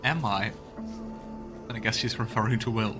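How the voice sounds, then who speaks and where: A man's voice: fairly low pitch, normal speed. A man hosting a let's play with casual gameplay commentary, musing and thinking aloud. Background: game music.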